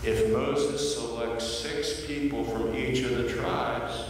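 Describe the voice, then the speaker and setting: An older male pastor preaches at an unhurried pace, telling his story with playful asides and lively quoted voices. His voice rings through a large church sanctuary, picked up from a distance with a long, roomy echo and a faint hiss in the background. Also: mid-range voice. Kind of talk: sermon. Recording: echoey hall from far off.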